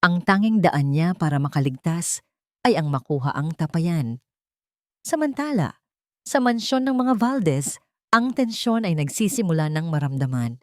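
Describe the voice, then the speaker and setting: A woman's voice: low voice, big pitch swings, moderate pace, a little mellow, no room sound, studio quality. A woman reading scripted, dramatic story narration.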